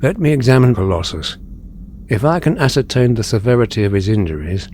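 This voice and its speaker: A male actor plays a dignified older man who leads with calm authority. His medium-pitched voice is formal and measured, with deliberate diction, in an audio drama with some background noise. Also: natural voice. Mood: grave, composed.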